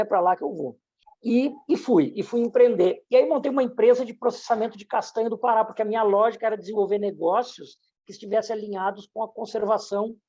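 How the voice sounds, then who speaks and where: A man's voice: high voice, mellow. A middle-aged man, telling his story casually and often stammering over short, repeated words. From southern Brazil. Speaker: interview guest, entrepreneur. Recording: slightly dull, muffled audio.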